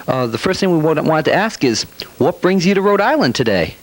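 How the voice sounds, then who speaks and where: A man's voice: fairly high pitch, sing-song, fairly quick, poor audio. A man hosting a martial arts TV interview, asking questions in a polite, deferential way and often repeating himself. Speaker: TV show host.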